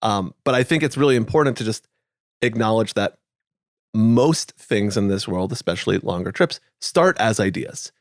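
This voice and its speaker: A man hosting a podcast in casual chat, relaxed and thinking aloud with fillers. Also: on the low side, normal speed, dry recording, clean studio sound. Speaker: podcast host.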